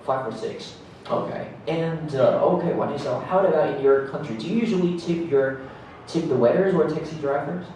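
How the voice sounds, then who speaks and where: A man's voice: a little high, normal speed, a little mellow. A man leading a classroom discussion, asking students questions in a friendly, chatty way and laughing often. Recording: very echoey room, mic far off, faint noise.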